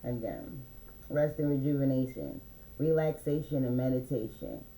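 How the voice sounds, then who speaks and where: Mellow-voiced man reading oracle cards. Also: medium pitch, sing-song, creaky, reverberant, poor audio. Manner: loose and meandering, many fillers; slow, reads affirmations aloud. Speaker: spiritual reader.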